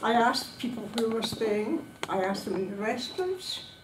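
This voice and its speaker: Woman public speaker, slightly high voice, dramatic storytelling in short emphatic phrases, noisy room recording. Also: sing-song, moderate pace. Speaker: club speaker giving a prepared speech. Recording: distant, echoey room recording with background noise.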